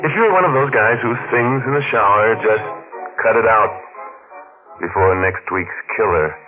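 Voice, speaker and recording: A man playing a ghoulish, sardonic radio horror host with morbid humour and a mock-genial, drawn-out delivery in 1940s style. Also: on the low side, lightly acted. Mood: gleefully macabre. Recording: muffled 1940s radio broadcast with music.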